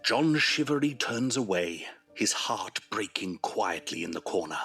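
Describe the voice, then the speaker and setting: A male actor as the storyteller of a Victorian audio drama, reading scripted narration in a measured, grave, literary way. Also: fairly low pitch, animated, creaky, sharp tone, husky, soft background music, full character voice. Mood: solemn.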